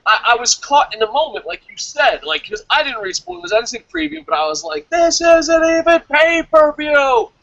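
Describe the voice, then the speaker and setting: Excitable guy, high bright voice, rambling fan talk on a review show. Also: normal speed, vocal fry, few pauses, no echo, muffled recording. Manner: animated, rambling, repeats himself. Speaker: co-host of a wrestling review show. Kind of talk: excitable casual fan commentary.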